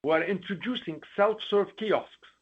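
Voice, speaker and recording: Slightly muffled, lo-fi call audio carries a man with a husky, fairly high voice. He delivers scripted executive remarks in a formal, read-aloud way. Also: normal speed. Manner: formal, reading prepared remarks with occasional stumbles. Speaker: company chief executive. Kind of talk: scripted earnings call presentation. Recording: dull, lo-fi conference call audio.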